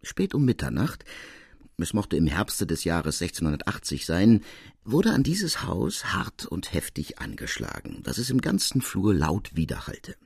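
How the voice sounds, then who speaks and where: A man's voice: sits low, wildly swooping pitch, measured pace, gravelly, darkish tone, slightly rough, no echo, clean studio sound. A man working as a narrator, reading scripted literary prose.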